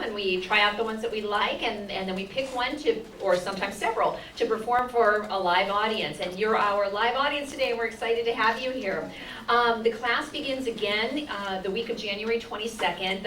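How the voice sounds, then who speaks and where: A woman's voice: mid-range voice, a bit bright. A woman who leads a class, giving an upbeat, informal welcome speech to a live audience in an auditorium. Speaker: class leader introducing a live show. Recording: echoey hall from far off, faint noise.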